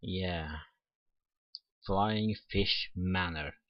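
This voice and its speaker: A man's voice: deep voice, drawn-out words, highs cut off. A man playing a game on his channel, reading the story text aloud and adding casual asides. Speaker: let's player. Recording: roomy sound with faint music behind.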